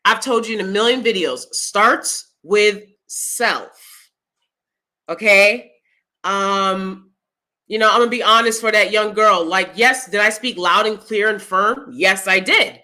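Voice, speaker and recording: A woman with a loud, clear voice giving her opinions in a casual vlog, blunt and emphatic as she speaks straight to viewers. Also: medium pitch, unhurried. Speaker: YouTube commentator.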